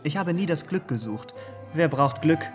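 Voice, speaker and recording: An actor in a German radio drama playing an elegant, pleasure-seeking young gentleman with a breathy voice. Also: a little high, expressive, moderate pace, music in the background, close to an everyday voice. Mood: languid charm.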